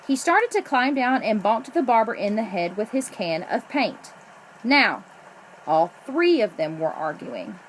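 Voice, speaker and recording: A woman reading a children's picture book aloud in an expressive storytelling way, drawing out the ends of phrases. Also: fairly high pitch, measured pace, a little hiss or hum, low-quality recording. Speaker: storybook reader.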